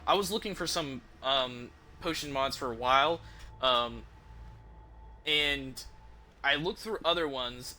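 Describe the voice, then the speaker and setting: Male streamer, very bright forceful voice, slightly high pitch, informal, enthusiastic, halting rambling chat. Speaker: game streamer. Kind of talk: casual rambling livestream chat about game mods.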